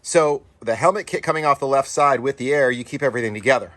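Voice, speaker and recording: A man's voice: mid-range voice, quick pace, fairly bright tone. A man hosting a casual DIY tutorial, explaining each step informally to viewers as he works. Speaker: DIY off-road channel host. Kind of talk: casual hands-on install tutorial.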